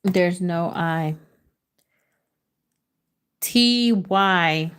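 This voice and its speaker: Slow-talking woman hosting a live word game. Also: on the low side, a bit bright, clear, resonant tone. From United States. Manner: slow, drawn-out letter reading, switches into Spanish. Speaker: livestream host running a word game. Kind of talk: casual live chat with viewers.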